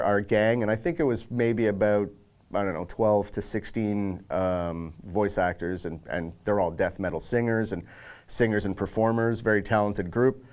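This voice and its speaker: Male audio director: lowish, clear resonant voice, thoughtful conversational interview delivery. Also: a little mellow. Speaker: senior audio director at a game studio. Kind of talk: reflective making-of interview.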